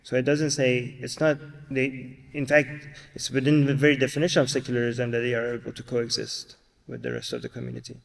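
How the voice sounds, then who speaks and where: A man's voice: mid-range voice, level tone, fairly quick, creaky. A man speaking on a panel, answering a question in a firm, argumentative way. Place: university lecture hall. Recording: reverberant university hall.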